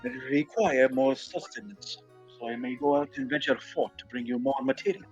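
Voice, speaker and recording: A man's voice: medium pitch, moderate pace, slightly rough, lo-fi sound, soft background music, natural voice. A man voicing a small, food-loving slime creature in a game fan dub, with formal, old-fashioned, pompous diction. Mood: stiffly courteous.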